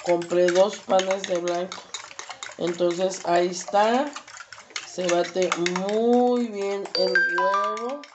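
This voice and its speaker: A woman's voice: mid-range voice, steady tone, very noisy. A woman with a Mexican accent, chatting casually through a cooking demonstration in her home kitchen. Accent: Mexican Spanish. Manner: slow, chatty, many fillers. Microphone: camera across the stove.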